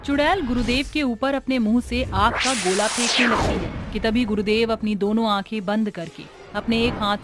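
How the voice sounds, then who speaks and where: A woman's voice: medium pitch, heavy background noise. A woman reading scripted horror storytelling narration as the story's narrator. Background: background music.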